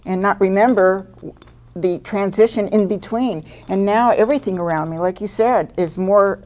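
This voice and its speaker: A woman's voice: mid-range voice, normal speed, darkish tone. A woman earnestly and enthusiastically giving a testimonial in an interview. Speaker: program participant.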